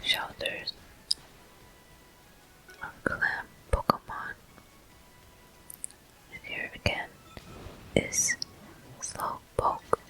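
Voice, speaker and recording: A person whispering gameplay commentary for an ASMR video, breathy and gentle, in short, unhurried phrases. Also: rough recording. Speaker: host of a gaming ASMR video.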